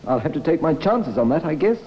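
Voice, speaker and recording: Uneasy young man, slightly high voice, halting, respectful, reverberant muffled old radio play. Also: sing-song. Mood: earnest, uneasy. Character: earnest accused young artist, uneasy. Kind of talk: 1950s radio drama acting. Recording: echoey, dull, noisy radio recording.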